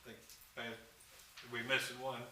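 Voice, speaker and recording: Judge guy talking to the jury, folksy, pretty flat, far off mic in an echoey noisy courtroom. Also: mid-range voice, measured pace. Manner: folksy, even-toned. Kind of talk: addressing a jury. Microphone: far room mic.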